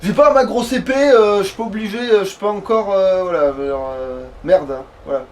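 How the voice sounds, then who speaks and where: High-voiced man, noisy recording. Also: fairly quick, far from the mic. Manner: swearing, joking. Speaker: let's player. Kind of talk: casual gaming chat.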